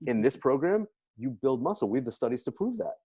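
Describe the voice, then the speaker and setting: A man's voice: medium pitch, very animated, fast talker, warm tone, slightly rough. A man giving an enthusiastic, emphatic product presentation as a health practitioner, repeating points as he explains. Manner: emphatic, repetitive, salesy explaining. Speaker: health practitioner promoting supplements.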